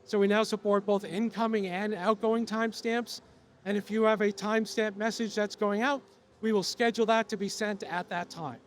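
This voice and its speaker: A man losing his voice, presenting software features to an audience at a trade show, explaining steadily with frequent filler sounds. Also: high-pitched, fairly even intonation, normal speed. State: losing his voice, coughing now and then.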